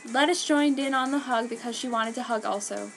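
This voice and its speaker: A woman's voice: on the high side, a little fast, vocal fry. A woman reading a story aloud at home in a theatrical, emphatic way. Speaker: fan fiction reader. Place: a room at home. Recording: faint background noise, home room. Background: very quiet instrumental music, faint noise.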